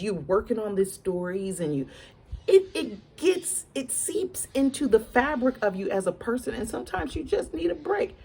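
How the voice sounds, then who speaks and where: A woman's voice: mid-range voice, darkish tone, slight background noise, rough recording. A woman giving casual celebrity gossip commentary in a conversational way, repeating words for emphasis and addressing her viewers directly. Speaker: online commentator.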